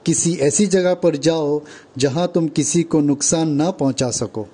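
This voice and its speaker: A stern young divine protector acted by a man in a puppet show story. The voice is fairly high for a male, soft-edged and dark, with a husky grain and firm, commanding delivery. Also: a little slow.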